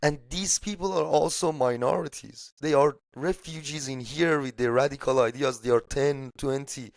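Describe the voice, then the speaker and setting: A man's voice: mid-range voice, moderate pace, vocal fry, dry recording, clean studio sound. A man from Iran with an Iranian accent and Persian as his first language, talking casually about politics in a blunt, emphatic way with casual swearing. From Shiraz, Iran. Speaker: political podcast speaker.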